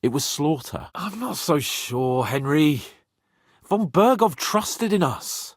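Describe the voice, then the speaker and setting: An actor playing a brash, haughty young medieval nobleman in expressive, sardonic video game dialogue. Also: fairly high pitch, slow, no room sound, clean studio sound, exaggerated voice. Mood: uneasy, earnest.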